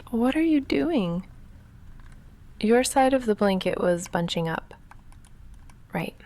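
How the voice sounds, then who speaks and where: An awkward young goth vampire girl, played by a woman in an intimate ASMR roleplay. She murmurs slowly with heavy vocal fry, often dropping to a breathy whisper. Her delivery is deadpan and halting, self-conscious but secretly affectionate. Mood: shy, tender. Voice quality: soft, breathy whispering mixed with low murmured talk.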